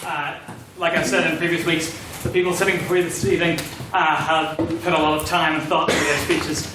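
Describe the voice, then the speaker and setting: Male meeting chair, fairly high voice, explaining house rules; very reverberant room, distant mic. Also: flat delivery, a little fast. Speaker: society president chairing the debate. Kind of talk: procedural announcements to a meeting. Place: echoey debating hall, distant mic. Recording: echoey hall, far mic, clear noise.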